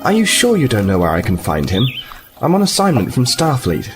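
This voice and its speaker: An actor playing a polite, earnest and somewhat halting envoy from a space fleet in a science fiction audio drama. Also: male voice, mid-range voice, wildly swooping pitch, over music. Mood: nervous, polite. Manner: apologetic, halting, then insistent. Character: polite, earnest, hesitant Starfleet envoy on assignment.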